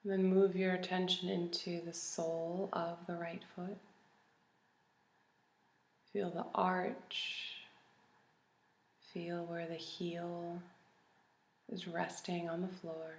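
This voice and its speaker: A woman leading a guided body scan meditation in a calm, gentle, soothing manner with long pauses. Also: lowish voice. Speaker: meditation teacher. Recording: roomy, echoey recording.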